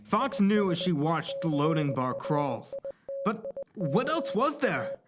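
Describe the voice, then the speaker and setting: A story narrator with a mid-range voice delivers scripted, dramatic prose. Soft music plays underneath the reading. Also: medium pitch, big pitch swings, slow pace.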